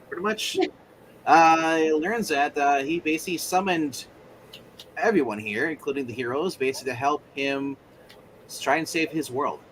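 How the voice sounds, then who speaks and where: A man in casual tabletop game chat, narrating in a rambling, joking, animated way. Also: on the high side, slight background noise, poor audio. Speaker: player narrating in a roleplay game.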